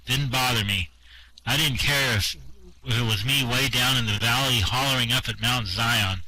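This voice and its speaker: A man's voice: medium pitch, moderate pace, pushed and bright, poor audio. A man playing a bragging old drifter. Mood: boastful. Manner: swaggering tall-tale bragging. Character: boastful worldly old male drifter. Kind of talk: amateur radio play reading.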